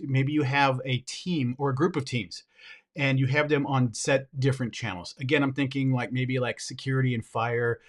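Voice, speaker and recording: A man with a mid-pitched voice presents a hands-on product review. He talks informally and directly to viewers while demonstrating the gear, in the relaxed phrasing of a casual vlog.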